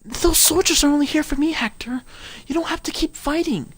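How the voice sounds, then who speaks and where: A man voicing a frightened, guilt-ridden young woman soldier in a strained falsetto, reading game dialogue aloud. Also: a little fast, fairly bright tone, no echo. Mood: pleading.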